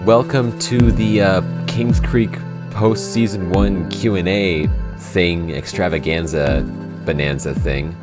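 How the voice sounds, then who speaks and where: Super deep voiced guy hosting a podcast q&a, lots of ums and restarts, laid back chat. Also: fairly even intonation, slow pace, music in the background. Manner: hesitant, many restarts and fillers, laughs easily. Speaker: podcast head writer and editor, co-host.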